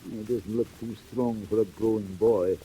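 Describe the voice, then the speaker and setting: An actor playing a sly, oily old British crook with a hesitant, mock-courteous manner, in a 1940s audio drama. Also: male voice, fairly low pitch, fairly even intonation, moderate pace, dark tone, lightly acted. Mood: oily, coaxing. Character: sly, wheedling old male crook running a boy gang. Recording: noisy vintage 1940s record.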